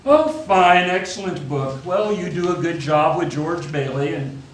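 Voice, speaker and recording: A man in a radio play acting a calm, authoritative senior angel who tells the story in measured tones. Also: on the high side, a little hiss or hum. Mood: calm, instructive. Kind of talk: stage radio play narration. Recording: echoey theatre, faint music behind.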